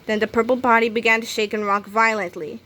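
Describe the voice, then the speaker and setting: A woman's voice: a little high, flat delivery, fast talker, bright, forceful tone. A woman reading a children's book aloud as scripted narration. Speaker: storybook reader.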